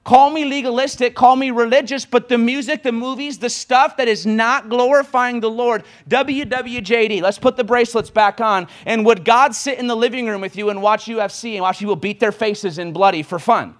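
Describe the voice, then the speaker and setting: High-voiced young preacher. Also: male voice, fairly even intonation. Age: 20s. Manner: impassioned, rapid exhortation with sudden bursts of volume. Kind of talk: sermon.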